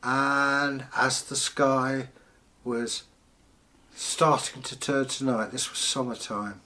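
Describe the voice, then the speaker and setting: A man in the persona of a Viking storyteller, telling folktales in short phrases with theatrical pauses. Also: mid-range voice, level tone, sharp tone. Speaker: channel host and storyteller.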